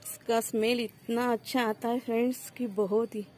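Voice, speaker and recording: A woman's voice: a little high, level tone, normal speed, darkish tone, slight background noise. A woman vlogging outdoors on her terrace garden, filming with a phone and showing viewers her harvest in a casual, friendly walkthrough. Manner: addresses viewers as friends, points things out. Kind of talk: casual show-and-tell walkthrough. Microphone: phone camera held while filming.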